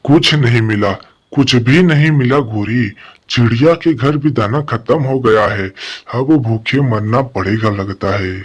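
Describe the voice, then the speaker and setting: Man as a husky, whining cartoon crow. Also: medium pitch, unhurried, lightly acted. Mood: despairing. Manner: plaintive, lamenting. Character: lazy, hungry, whining male crow. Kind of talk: Hindi children's animated fable dialogue.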